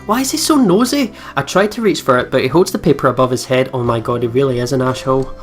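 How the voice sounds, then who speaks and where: A very low-pitched woman does casual game commentary over background music. She reads story narration aloud and drops in chatty asides as she reacts to it. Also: animated, fast. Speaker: let's play video host. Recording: music behind the voice. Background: visual novel game music.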